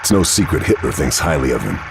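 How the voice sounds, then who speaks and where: An actor playing a grim, resolute World War Two sniper, narrating a video game cutscene in a terse, hard-boiled, gravelly voice. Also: male voice, very animated, quick pace, noisy background, full character voice. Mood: grim, determined. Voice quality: very deep, gravelly. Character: grim, resolute male WWII Allied sniper agent on a mission. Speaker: narrating protagonist.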